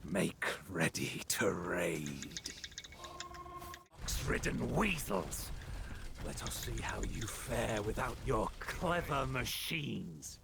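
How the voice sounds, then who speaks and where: A man playing a ruthless Spartan warrior in a game, with a gravelly, sneering and menacing delivery. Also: very animated, slow pace, full character voice. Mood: sneering menace. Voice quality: gravelly, often hissing low. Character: ruthless, contemptuous Spartan warrior who relishes night raids.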